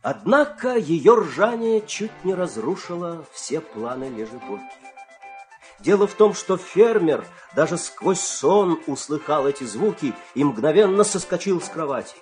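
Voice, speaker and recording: A man narrating a children's fairy-tale radio play with dramatic, expressive storytelling delivery. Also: a little high, measured pace, faint music behind.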